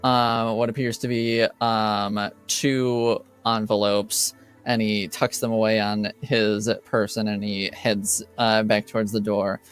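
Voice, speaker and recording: A man narrating a tabletop game as game master, improvising hesitantly with many pauses. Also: lowish voice, level tone, clean tone, faint music behind.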